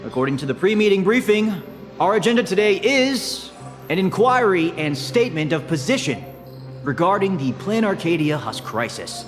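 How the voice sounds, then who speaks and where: A man's voice: sits high, big pitch swings. Polite formal company guy hosting in a game, big hall echo, music behind. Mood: formal announcing. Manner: formal, measured announcer-like courtesy. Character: polite, formal corporate assistant and summit host, male. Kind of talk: video game voice acting. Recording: long hall reverb, music underneath.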